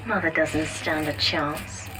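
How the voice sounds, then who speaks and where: A woman's voice: low voice, steady tone, takes it slowly, low-quality recording. A woman playing a defiant, devoted young daughter in a video game, speaking with solemn intensity. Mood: cold resolve. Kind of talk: dramatic video game acting.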